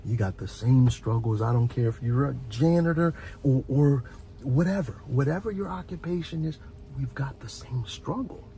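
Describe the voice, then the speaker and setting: A middle-aged man giving an informal, heartfelt advice monologue as a host, speaking earnestly and reflectively, often halting and repeating words. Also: mid-range voice, animated, dark tone, slight background noise. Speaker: host of a relaxation and advice channel.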